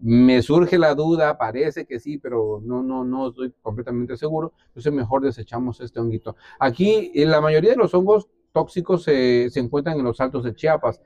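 A man's voice: medium pitch, moderate pace, hardly any pauses, mellow, no room sound, dull audio. A man, explaining as an expert in an interview, with hesitant restarts. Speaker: biologist, interviewee. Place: small indoor exhibition room. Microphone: clip-on lapel mic.

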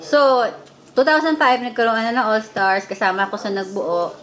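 A woman's voice: a little high, fairly even intonation, normal speed, a bit bright. A woman in her thirties with a Filipino accent, leading a casual chat with friends over a meal at home, teasing and switching between English and Tagalog. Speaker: vlog co-host. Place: home dining table, indoors.

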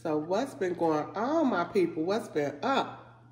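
A congested woman in her forties talks to her viewers between bites, relaxed and chatty, humming over her food. Her voice rings a little in a roomy space, with a faint hiss underneath. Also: fairly low pitch, measured pace. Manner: relaxed, chatty, savoring food with hums while eating. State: congested from a head cold. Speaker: mukbang vlogger. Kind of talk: casual eating video, talking to viewers. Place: living room, indoors. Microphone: fixed camera at table. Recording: echoey room with faint noise.

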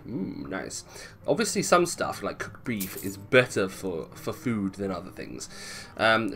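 A man's voice: mid-range voice, sharp tone. A man giving casual, chatty gameplay commentary, thinking aloud playfully and swearing freely. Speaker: gaming video host.

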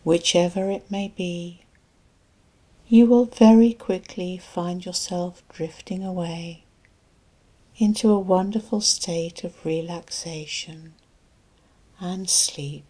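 Slow, low, breathy woman guiding sleep hypnosis. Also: steady tone, mellow, low-quality recording. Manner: slow, soothing, deliberate delivery with long pauses. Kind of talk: guided relaxation and sleep hypnosis narration.

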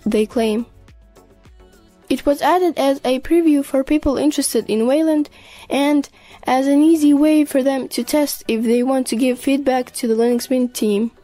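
High-pitched woman narrating tech news. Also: warm tone. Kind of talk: scripted narration.

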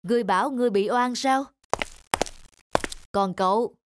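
A woman voicing a stern, fair-minded middle-aged male governor in a cartoon, speaking formally and with measured authority. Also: a little high, noisy background, natural voice. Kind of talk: scripted cartoon dub. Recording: music under the dialogue.

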